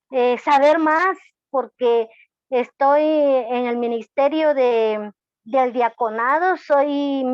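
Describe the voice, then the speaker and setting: A woman's voice: high voice, no room sound. A woman from Guatemala with a Guatemalan accent, a church deacon giving a hesitant testimony with many fillers on an online call. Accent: Guatemalan Spanish. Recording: dull lo-fi call audio.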